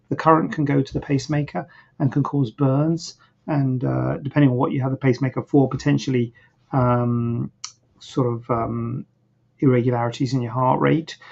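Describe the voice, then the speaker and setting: A plastic surgeon hosting a live online Q&A, answering questions in a rambling, hesitant way, and a bit croaky. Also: male voice, mid-range voice, a little mellow. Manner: rambling, hesitant, many fillers and restarts. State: a bit croaky, clearing throat. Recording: dull, lo-fi livestream audio.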